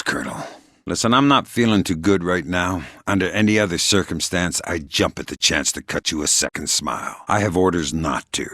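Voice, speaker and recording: A hardened covert spy from a video game, with a very deep, gruff voice. He speaks tersely, with deadpan sarcasm. The sound is close and dry, with no room on it. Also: dry recording, full character voice. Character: hardened, sardonic male covert spy operative. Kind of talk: video game character dialogue.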